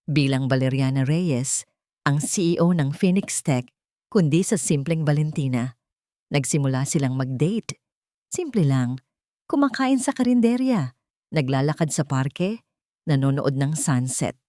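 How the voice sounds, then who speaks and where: A woman's voice: low-pitched, animated, unhurried, dark tone, dry recording, studio quality. A woman narrating a scripted romantic drama story in a storytelling style.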